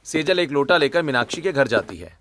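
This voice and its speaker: A man's voice: on the high side, very animated, brisk, fairly bright tone. A man narrating a scripted cartoon story.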